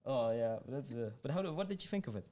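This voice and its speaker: A man from the Netherlands with a Dutch accent, hosting a podcast interview in a soft-spoken, hesitant way, stammering and laughing a lot. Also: mid-range voice, animated, fast. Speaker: podcast host. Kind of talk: casual interview chat.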